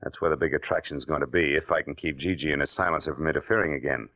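An actor playing a tough, wry private detective in an old-time radio drama, terse and sardonic. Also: male voice, a bass voice, fast talker, bright, forceful tone, muffled recording, exaggerated voice. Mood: brisk, firm.

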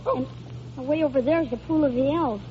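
Little girl, dreamy and trusting, played by a young actress, high pitched, full of wonder. Old radio drama, muffled hissy sound. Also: brisk, close to an everyday voice. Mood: excited, wondering. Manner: wonder-struck, childlike delivery. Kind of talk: 1930s-40s American radio drama acting.

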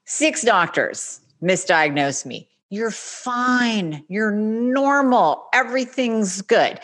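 A woman's voice: medium pitch, takes it slowly. A woman in her forties, a podcast guest, telling her own story candidly in a casual interview and acting out what others said. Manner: candid, animated, acts out quoted speech.

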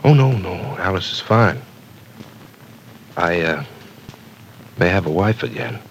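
A man's voice: sits low, lively intonation, measured pace, a little hiss or hum, low-quality recording. A brooding, lovesick leading man. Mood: halting. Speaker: radio soap leading man. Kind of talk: 1940s radio acting.

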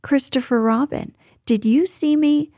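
Woman doing a squeaky, high-pitched little kangaroo boy. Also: takes it slowly, slightly rough, dry recording, studio quality, heavily acted. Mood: excited, proud. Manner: excited, boastful, repeating himself. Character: tiny, excitable, proud young male kangaroo. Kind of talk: children's storybook character voice.

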